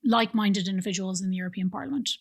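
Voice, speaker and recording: A middle-aged Irish woman, a think tank director, explaining her work in a relaxed interview with frequent ums and restarts, recorded on a stand mic in a living room studio. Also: medium pitch, very fast, vocal fry. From Ireland. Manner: explanatory, many fillers and restarts. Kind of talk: conversational interview.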